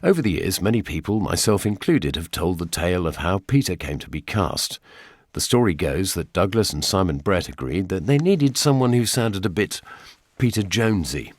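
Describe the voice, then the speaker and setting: An older English man narrates a radio documentary in a deep voice. His delivery is measured and gently wry, and the sound is close and dry. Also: expressive, no echo. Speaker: presenter.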